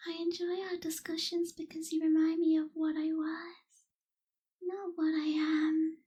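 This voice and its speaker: High, soft-whispering melancholy vampire girl, acted by a woman. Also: little pitch movement. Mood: gentle, curious. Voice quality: soft, breathy, half-whispered. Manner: slow, wistful, hushed, formal wording. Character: melancholy young female vampire, gentle reluctant captor. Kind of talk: ASMR roleplay.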